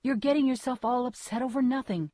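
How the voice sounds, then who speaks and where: A woman's voice: a little high, brisk, mellow, dry recording, studio quality, close to an everyday voice. An actress playing a worried but firm, dismissive mother in a gothic soap audio drama. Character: Court's mother, worried, dismissive middle-aged woman.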